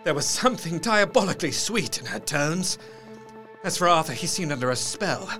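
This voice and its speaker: Man acting an earnest, higher-voiced gothic narrator. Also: lively intonation, normal speed, sharp tone, soft background music. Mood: horrified, intense. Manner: formal, intense, literary. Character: earnest man recounting horrors from his journal. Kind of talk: dramatic scripted narration, gothic audio drama.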